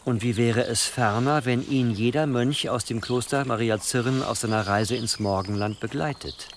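A man's voice: mid-range voice, moderate pace, slight background noise, lightly acted. An actor playing a ruthless, scheming churchman who speaks with formal, calculating deference in a German historical radio drama. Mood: sly, persuasive. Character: scheming, obsequious, ruthless churchman advising his bishop.

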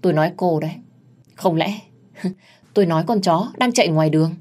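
A woman voicing a stubborn young housemaid in an audio story, talking back defiantly with a sharp tongue. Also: fairly low pitch, brisk, close to an everyday voice. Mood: mocking retort. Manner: retorting, stubborn.

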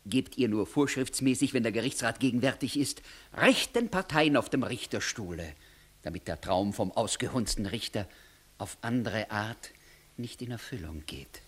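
A husky, sly court clerk. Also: male voice, mid-range voice, animated, moderate pace. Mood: sly advising. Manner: probing, mocking. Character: sly, needling court clerk, outwardly dutiful. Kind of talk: 1960s German radio play acting, classical stage comedy.

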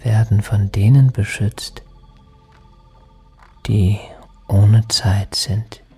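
An actor playing a dreamy lost soul in a poetic radio drama, speaking in halting, half-whispered phrases with long pauses. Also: male voice, on the low side, steady tone, a little mellow, slightly rough, exaggerated voice. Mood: trance-like, solemn. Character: lost soul, a dreamy male spirit seeking redemption. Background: music.

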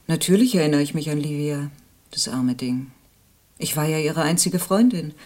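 A woman's voice: low voice, normal speed, dark tone, slight background noise, close to an everyday voice. An actress playing a gossipy, pitying female friend who confides her opinions in an audio drama. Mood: confiding, disapproving. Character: gossipy, pitying woman, loyal friend testifying.

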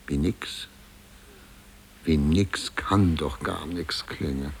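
A man's voice: extremely low pitch, vocal fry, a little hiss or hum, heavily acted. A man playing a flustered, over-polite small-town mayor in a German radio play, stammering and ceremonious. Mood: puzzled. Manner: stammering, ceremonious, halting hedging.

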